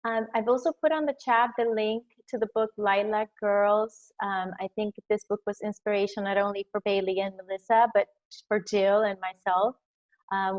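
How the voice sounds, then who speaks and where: A woman moderates a virtual panel in a brisk, polite way, filling pauses with a soft "um." Her mid-pitched voice comes through dull, lo-fi call audio. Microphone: video call.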